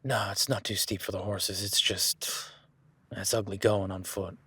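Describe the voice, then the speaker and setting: A man's voice: fairly low pitch, flat delivery, measured pace, bright, forceful tone, breathy edge, full character voice. A man voicing an easygoing male cowboy ranch hand in an audio roleplay, talking in a laid-back, folksy way with casual swearing.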